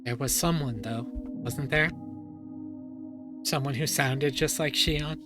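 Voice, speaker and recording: A man streaming a dramatic first-person reading of a story, pausing and repeating phrases for suspense. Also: mid-range voice. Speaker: streamer reading a visual novel aloud. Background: music.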